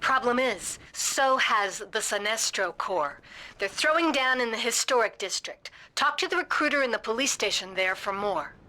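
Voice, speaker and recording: Higher-voiced, informal game mission operator. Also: female voice, lively intonation, normal speed, bright, forceful tone, low-quality recording. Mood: alert, concerned. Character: casual, alert female mission-support operator. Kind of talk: conversational mission briefing in a video game.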